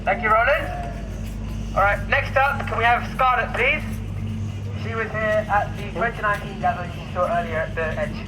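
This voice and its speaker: Male event host, upbeat and thankful, high voice, reverberant street sound with clear traffic. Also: normal speed. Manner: upbeat, thankful, loose with ums. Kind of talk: introducing speakers at a rally. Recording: echoey outdoor sound with traffic noise.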